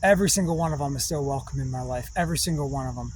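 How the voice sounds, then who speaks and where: A man giving a rambling spiritual monologue in slow, drawn-out, meditative phrases with long pauses. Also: mid-range voice, a little mellow, slight background noise. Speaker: spiritual speaker.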